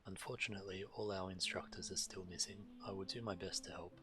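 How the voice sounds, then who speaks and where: A man's voice: sits low, fairly even intonation, fast talker, over music. A man streaming a game, reading quest text aloud and adding casual commentary.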